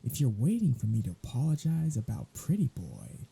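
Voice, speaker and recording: Man acting a husky, dark-voiced sardonic vampire. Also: mid-range voice, wildly swooping pitch, moderate pace, heavily acted, rough recording. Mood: snarky. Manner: sarcastic, flippant, conversational. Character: sardonic, weary male vampire talking to a hunter. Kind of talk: ASMR audio roleplay acting.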